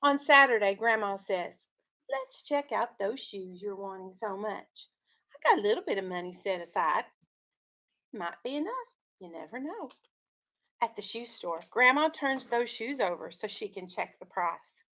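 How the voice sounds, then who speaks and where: A woman's voice: mid-range voice, animated, moderate pace, pushed and bright. A woman teacher reading a story aloud to children, in an instructive way with leading questions.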